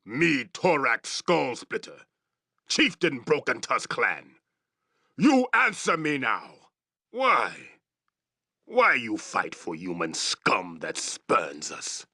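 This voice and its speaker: An actor playing a brutish, boastful orc chieftain in a fantasy video game, growling threats in broken English. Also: male voice, medium pitch, huge pitch swings, takes it slowly, sharp tone, no room sound, studio quality, heavily acted. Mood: demanding, suspicious. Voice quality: gravelly, growling.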